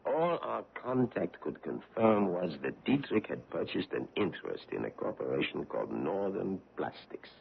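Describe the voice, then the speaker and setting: An actor playing a courteous, probing foreign investigator on the trail of a war criminal, in a 1970s radio drama. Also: male voice, low-pitched, big pitch swings, moderate pace, vocal fry, hardly any pauses, slightly rough, full character voice. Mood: suave, probing. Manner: courteous, probing, gently menacing. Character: suave middle-aged foreign male war-crimes investigator. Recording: tinny old radio broadcast.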